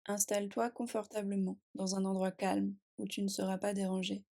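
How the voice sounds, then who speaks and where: A woman leads a guided deep relaxation. Her pitch sits at a middle height and barely moves, with a noticeable creak in the voice. She gives calm, soothing instructions in short phrases, leaving long pauses between them. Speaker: yoga nidra meditation guide. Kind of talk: guided relaxation meditation, scripted.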